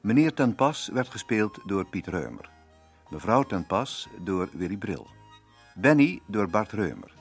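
A man's voice: on the low side, very animated, a little slow, husky. A man reading scripted closing credits as a radio announcer. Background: faint harmonica music.

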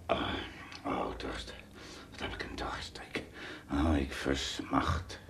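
A man playing a good-natured shipwrecked giant, musing and groaning to himself in a gravelly, husky voice in a children's audio drama. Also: rumbling low, flat delivery, fairly bright tone, whispered, heavily acted. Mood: parched, craving. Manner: drawn-out musing with sighs and groans.

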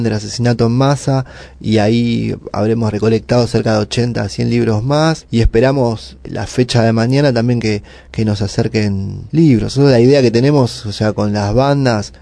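A man from the interviewee's chair with a Buenos Aires area Argentine accent, speaking in a creaky, relaxed voice. He explains things informally and haltingly, like a community organizer answering questions. The sound is close and dry. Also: mid-range voice, no room sound. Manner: halting, explanatory, filler-heavy.

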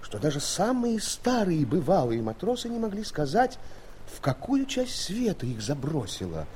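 An actor telling a sea adventure tale as the theatrical narrator of an audio play. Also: male voice, a little high, huge pitch swings, moderate pace, a little mellow, a little hiss or hum. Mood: dramatic storytelling. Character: storyteller of a sea tale, doubling as ship's captain. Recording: vintage mono record, music behind.

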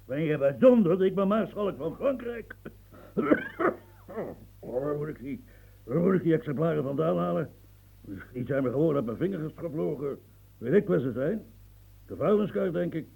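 An actor playing a proud, blustering old marshal in a radio play, gravelly and coughing, swinging from mumbles to outbursts. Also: male voice, a little high, expressive, normal speed, mellow, heavily acted, low-quality recording. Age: older.

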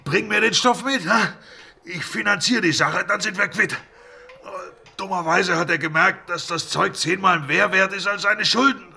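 An actor playing a menacing, scheming crook in a radio crime drama, with a raspy, aggressive delivery. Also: male voice, sits high, moderate pace, sharp tone, full character voice. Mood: scheming, self-justifying. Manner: aggressive, self-justifying. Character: menacing scheming male crook, blustering about a botched deal.

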